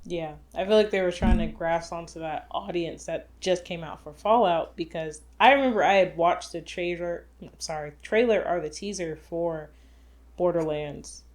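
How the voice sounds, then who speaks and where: Lower-voiced woman chatting loosely. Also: normal speed. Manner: chatty, filler-heavy, explaining. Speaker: podcast co-host. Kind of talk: casual chat.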